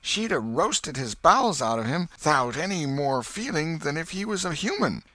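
A man's voice: on the high side, sing-song, moderate pace, pushed and bright, dry recording, pitched above the performer's own voice. A man doing a sly mischievous country boy. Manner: folksy drawl, eager pleading.

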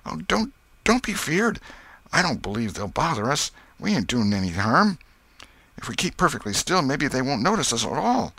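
A man voicing an anxious young boy in a storybook, hushed and urgent, with rustic speech. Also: medium pitch, sing-song, moderate pace, slightly rough, no room sound, voice pushed up, exaggerated voice. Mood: frightened, urgent. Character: anxious, whispering young boy, superstitious but reassuring.

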